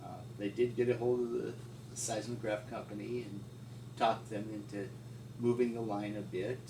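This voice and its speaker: A middle-aged man reminiscing informally as an interviewee, in a halting, folksy way. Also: lowish voice, flat delivery. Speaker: paleontologist interviewee. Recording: distant mic, echoey room, loud noise.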